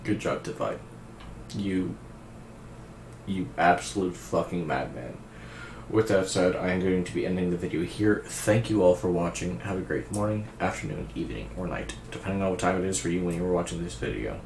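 A young man with a naturally deep, raspy voice, hosting a casual music reaction, laid-back and swearing freely as he praises a singer. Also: moderate pace, roomy sound, noisy background. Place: indoor room at a streaming desk.

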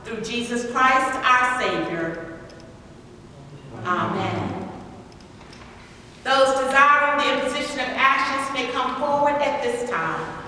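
Slow, high-voiced woman priest in an echoey church. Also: lively intonation, sharp tone, a little hiss or hum. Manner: slow, measured, solemn recitation. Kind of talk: formal liturgical reading. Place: large church sanctuary, from the altar. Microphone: far camera at the back of the church. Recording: echoey church hall from far off.

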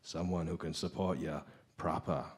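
Guy doing creepy smooth-talking tempter, low husky voice, radio drama. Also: steady tone, normal speed, darkish tone. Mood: coaxing. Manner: insinuating, coaxing. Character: sly, seductive sinister tempter, coaxing and manipulative. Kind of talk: old-time radio drama acting.

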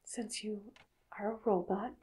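A woman speaking in a soft, breathy whisper, slow and soothing, as a calm doctor in an ASMR roleplay. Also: mid-range voice, a little hiss or hum. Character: calm hypnotist doctor addressing a robot patient.

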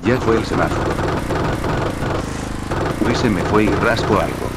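A man's voice: on the low side, expressive, unhurried. A young man with a Rioplatense accent, commenting casually on a motorcycle game and swearing at other drivers. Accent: Rioplatense Spanish. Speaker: gaming motovlogger. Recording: loud game engine noise behind.

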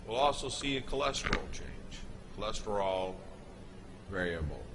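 A man giving an unscripted voice-over, explaining footage in a halting way with pauses and restarts. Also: on the low side, lively intonation, background noise. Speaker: narrator explaining a product video.

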